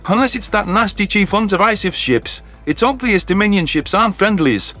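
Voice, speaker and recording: A man's voice: sits high, lively intonation, normal speed, pushed and bright, faint music behind. A man voicing a skeptical, sardonic male alien officer who argues scornfully in a science fiction audiobook. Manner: sardonic, argumentative.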